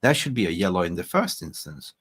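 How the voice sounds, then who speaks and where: A man from Sweden hosting a casual post-match football fan chat, blunt and swearing, getting excitable as he reacts to live scores. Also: low voice, brisk, husky. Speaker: football fan channel host.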